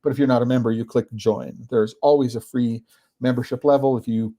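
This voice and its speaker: A man hosting a podcast, giving an informal explanatory talk in a halting way, searching for words and often checking in with the listener. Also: mid-range voice, fairly even intonation, dark tone. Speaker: podcast host.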